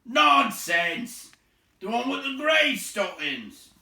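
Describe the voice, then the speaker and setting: A man voicing a loud, quarrelsome rustic troll who bellows indignantly in rough colloquial speech, in a read-aloud fantasy story. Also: very high voice, very animated, takes it slowly, roomy sound, recorded from a distance, voice pushed up, heavily acted.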